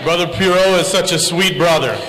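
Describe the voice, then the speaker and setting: A man with a Kentucky accent giving a church greeting as a guest speaker, pausing for an interpreter. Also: sits high, sharp tone, a faint crowd. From Jeffersonville area, United States. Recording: noisy, echoey church PA.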